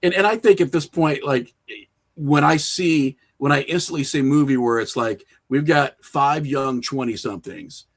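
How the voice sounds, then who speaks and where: A man talking casually and conversationally as a podcast guest, telling things in an animated, storytelling way. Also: a little high, normal speed. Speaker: podcast guest, horror filmmaker and writer.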